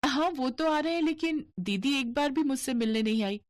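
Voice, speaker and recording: A woman's voice: high voice, moderate pace, dry recording, pitched above the performer's own voice, lightly acted. A woman voicing a sweet, simple young bride, polite, frank and innocent, in a cartoon story.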